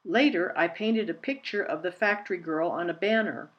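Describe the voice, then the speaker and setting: A woman's voice: on the low side, moderate pace, muffled recording. A woman narrating a children's picture book in a scripted read-aloud style.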